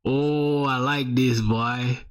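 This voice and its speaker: A man's voice: mid-range voice, fairly even intonation, slow. A young man live-streaming, reviewing viewers' websites in a casual, slangy way, full of "bro" and "my boy".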